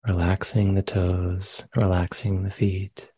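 A man leading a guided meditation as a teacher, speaking gently and unhurriedly with long pauses between phrases. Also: sits low, monotone, slightly rough, dry recording. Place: meditation center.

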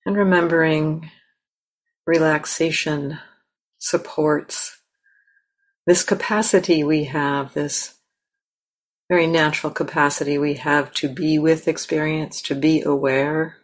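A woman with a low voice guides a meditation. She speaks very slowly and gently, leaving long pauses between short phrases as she instructs the listener. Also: steady tone. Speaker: meditation teacher.

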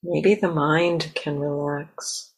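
A woman leading a guided meditation as a teacher, speaking gently and haltingly in short, softly inviting phrases. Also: sits low, steady tone, breathy edge. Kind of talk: guided meditation, unscripted and spoken in pauses. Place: meditation center talk.

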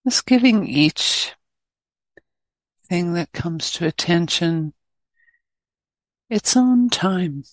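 Slow, unhurried woman guiding meditation. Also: on the low side, expressive, no echo, low-quality recording. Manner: gentle invitations in short phrases with long pauses. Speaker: meditation teacher. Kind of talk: guided meditation.

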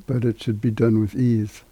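An older man giving guided meditation instruction as a teacher, unhurried and halting, with long pauses. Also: on the low side, little pitch movement, mellow, breathy edge, dry recording.

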